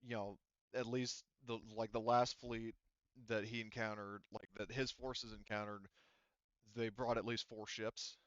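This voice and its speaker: A man's voice: lowish voice, little pitch movement, measured pace, a bit bright, smooth, dry recording, dull audio. A man speaking informally as game master, narrating a tabletop roleplaying game casually and swearing freely.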